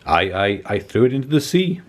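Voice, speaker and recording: A man's voice: mid-range voice, very animated, a little fast, pitched above the performer's own voice. A man voicing a hungry, scheming but easily cowed troll in a children's storybook. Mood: nervous.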